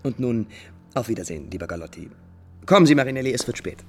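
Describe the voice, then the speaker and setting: A scheming prince of vintage radio drama, all courtly condescension. His slightly husky voice sweeps up and down through grand, declamatory phrases, sounding smooth in manner and sure of his rank. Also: mid-range voice, lively intonation, fast talker, soft background music. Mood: persuasive. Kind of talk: 1950s German radio drama acting. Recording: vintage 1950s radio recording.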